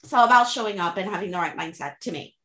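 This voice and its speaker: Female coach as interview guest, Haitian accent, native French and Creole speaker, forceful and frank, echoing room sound. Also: medium pitch, quick pace. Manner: emphatic, frank, motivational. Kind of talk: conversational advice-giving.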